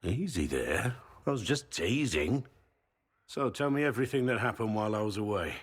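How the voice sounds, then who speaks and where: Game warrior, very low voice, husky, lively intonation, rueful, loyal. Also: male voice, normal speed. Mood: teasing. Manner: rueful, plain-spoken. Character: gruff remorseful warrior man, loyal and teasing. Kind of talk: video game voice acting.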